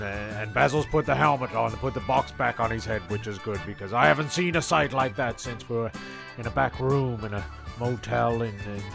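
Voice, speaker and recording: A man narrates a comedy in a gravelly, mid-pitched voice. He rambles sardonically, halting and repeating himself, while loud music plays underneath.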